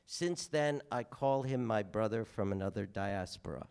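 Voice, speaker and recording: A man's voice: fairly low pitch, moderate pace, vocal fry, a little mellow, a little hiss or hum. A man hosting a stage conversation, introducing his guest with an affectionate anecdote. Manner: storytelling, affectionate. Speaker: host, museum director.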